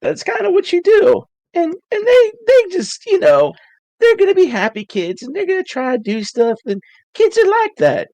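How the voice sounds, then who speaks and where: A woman voicing Travis, an easygoing male camp counselor who reassures others in a relaxed, soothing way during a tabletop game. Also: extremely high pitch, normal speed, no echo, dull audio, exaggerated voice. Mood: reassuring. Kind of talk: tabletop role-play in character.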